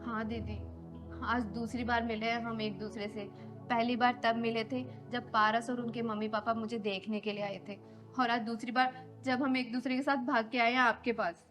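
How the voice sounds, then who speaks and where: A woman's voice: a little high, steady tone, moderate pace, music in the background, natural voice. A young woman playing an earnest, pleading runaway bride in a Hindi moral drama.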